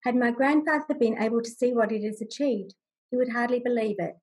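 Woman, middle-aged, husky, measured solemn tribute reader on a video call. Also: fairly high pitch, darkish tone, low-quality recording. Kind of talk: formal scripted tribute reading. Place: furnished room at home, indoors. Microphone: video call, computer microphone.

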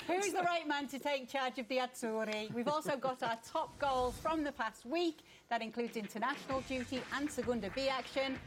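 A woman's voice: sits high, brisk, few pauses. A woman in her thirties hosting a football show from a television studio in a polished broadcast style. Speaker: television sports presenter.

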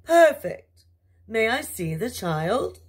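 A woman's voice: a little high, sing-song, slow, slightly rough, voice pushed up, full character voice. A woman voicing a helpful, bustling storybook fairy godmother in a theatrical, exclamatory manner. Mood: cheerful.

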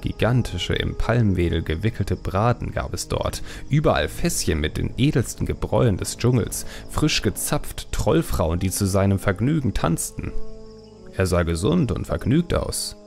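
A man's voice: on the low side, very animated, normal speed, creaky, a little mellow. A man reading a fantasy audiobook as a dramatic, scripted narration. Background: faint music.

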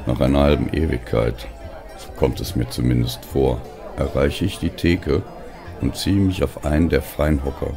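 A man narrating a literary story in the first person as an audiobook reader, with a gravelly voice and deliberate phrasing. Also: very deep voice.

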